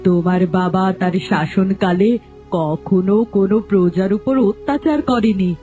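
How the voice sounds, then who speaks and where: A woman playing a stern, disappointed middle-aged queen in a fairy-tale cartoon, speaking gravely and reproachfully. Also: mid-range voice, normal speed, warm tone, soft background music, lightly acted. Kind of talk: animated fairy-tale dialogue.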